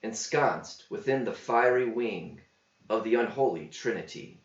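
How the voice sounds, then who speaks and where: A man voicing a cheeky young male drifter in a verse story, smooth-talking and declamatory in rhyme. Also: lowish voice, expressive, a little slow. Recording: roomy, slightly dull home recording.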